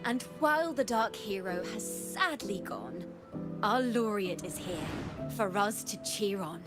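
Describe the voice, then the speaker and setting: A woman's voice: mid-range voice, expressive, slow. A woman voicing a theatrical young bard in a video game, telling tales in a lilting, poetic, dramatic manner. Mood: lyrical, grand. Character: theatrical young female bard, lyrical and cheerful storyteller. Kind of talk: video game voice acting, storytelling. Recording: music behind the voice.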